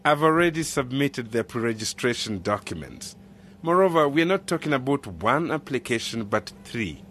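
An actor playing a principled village official in a radio drama, measured, polite and earnest. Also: male voice, medium pitch, sing-song, fairly bright tone, slight background noise. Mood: reassuring.

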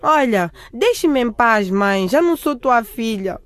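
A defiant teenage girl in a radio drama. Her high voice moves expressively, sulky and argumentative, in a dry recording. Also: lively intonation, unhurried, dry recording. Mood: bitter. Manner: sulky, confrontational. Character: defiant, resentful teenage daughter.

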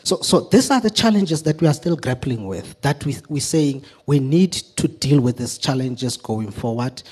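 A man speaking off the cuff on a panel, earnest and deliberate, in stop-start phrases with repeated words. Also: mid-range voice, lively intonation, darkish tone, breathy edge. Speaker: community radio representative on a panel. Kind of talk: extemporaneous panel discussion remarks.

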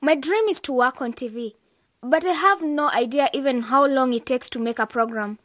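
Young female student, eager and outspoken, high-pitched, for educational radio drama. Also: normal speed, fairly bright tone, dry recording, studio quality.

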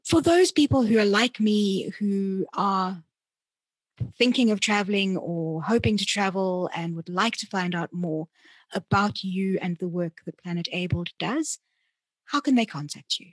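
A woman hosting a conversational interview, speaking deliberately and often rephrasing as she goes. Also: medium pitch, breathy edge, dry recording. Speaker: interviewer and podcast host.

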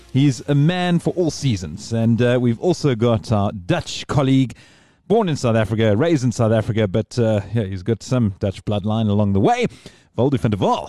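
A man's voice: medium pitch, warm tone. A man hosting a podcast in casual chat, rambling and digressing as he talks. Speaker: podcast host.

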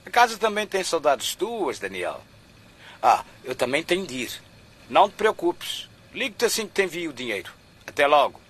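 An actor playing a stern but fond middle-aged businessman father, husky, in a radio drama. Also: a little high, big pitch swings, normal speed, fairly bright tone, heavily acted.

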